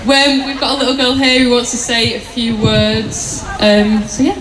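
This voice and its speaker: A woman's voice: fairly high pitch, fairly even intonation, normal speed, fairly bright tone. A woman acting as rally host, making informal, chatty and encouraging introductions. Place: outdoor city centre rally. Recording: echoey outdoor PA, crowd and noise.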